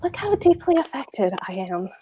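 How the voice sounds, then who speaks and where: A woman's voice: high-pitched, very animated, moderate pace, mellow, husky, heavily acted. A woman reading the part of a pompous, anxious husband, a fussy government clerk, at a table read of a stage comedy. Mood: lecturing, fretful. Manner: pompous, hectoring, fretful.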